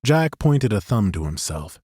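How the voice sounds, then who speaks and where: A male narrator reading a fantasy audiobook in a steady, even storytelling style. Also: fairly low pitch, measured pace, dry recording, clean studio sound.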